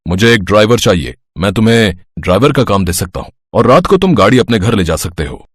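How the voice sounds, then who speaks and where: A man plays a stern boss in a moral tale. His voice is on the low side and authoritative, deliberate in delivery, in a dry recording. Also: big pitch swings, dry recording. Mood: businesslike. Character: stern, businesslike male office boss.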